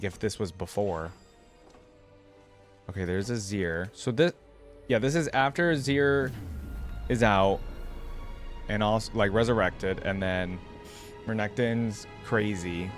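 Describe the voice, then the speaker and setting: A man giving casual, informal reaction commentary as the host of a gaming channel, thinking aloud as he goes. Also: lowish voice.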